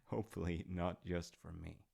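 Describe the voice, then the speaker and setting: A man's voice: low-pitched, little pitch movement, moderate pace, gravelly, dark tone, breathy edge, heavily acted. A man playing an affectionate, proud dad in an audio roleplay, rambling through stories in a musing, conversational way with hesitant asides. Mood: musing, fond. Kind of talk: intimate rambling storytelling roleplay.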